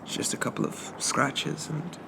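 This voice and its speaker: A man playing a shy, polite undead zombie in a soft ASMR roleplay, stammering and hesitant in a gently formal way. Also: fairly high pitch, moderate pace, vocal fry, noisy background. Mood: timid, reassuring.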